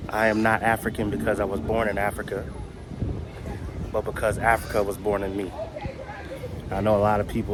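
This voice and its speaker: A man visiting as a vlogger, talking casually to the camera in a relaxed, low-key way. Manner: low-key, flat, relaxed with light laughs. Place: outdoor memorial park by a city road. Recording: outdoor traffic noise.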